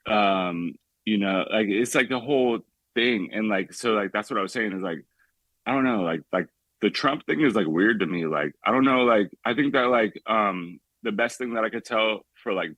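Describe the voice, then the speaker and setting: A man's voice: on the low side, fairly bright tone, dry recording. A young man from the Bay Area calling in to a talk show, rambling casually and filling nearly every phrase with "like". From San Francisco Bay Area. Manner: rambling, heavy use of "like", many restarts. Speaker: caller. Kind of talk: casual call-in chat.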